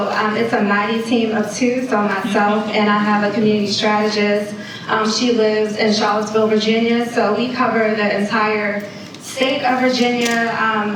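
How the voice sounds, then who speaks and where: A woman's voice: a little high, slight background noise. A woman who manages a community health program, speaking on a panel and explaining steadily with frequent ums. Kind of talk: panel discussion. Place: conference hall. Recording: echoey hall, distant.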